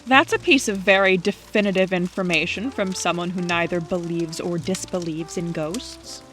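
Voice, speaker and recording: A woman acting in an audio drama as a teasing, stubborn young skeptic with a brisk, argumentative manner. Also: fairly low pitch, fairly bright tone, faint music behind, close to an everyday voice. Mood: wry, coaxing.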